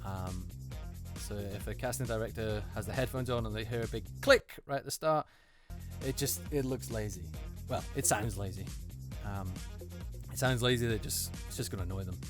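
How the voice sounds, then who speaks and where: A man's voice: fairly low pitch, normal speed. A man speaking as a voice actor in an unscripted, casual critique, hesitant and repeating words for emphasis, in a treated recording booth. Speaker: voice actor reviewing auditions. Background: music.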